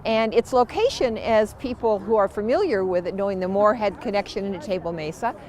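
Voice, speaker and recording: Casting: woman official, enthusiastic, mid-pitched, outdoor interview. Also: animated, brisk, a little mellow. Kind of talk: interview soundbite.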